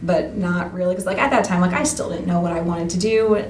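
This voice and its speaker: A woman's voice: fairly low pitch, steady tone, fast talker. Casual woman in her thirties, roomy recording. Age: 30s. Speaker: radio play cast member being interviewed. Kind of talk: casual chat. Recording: roomy, distant mic with background noise.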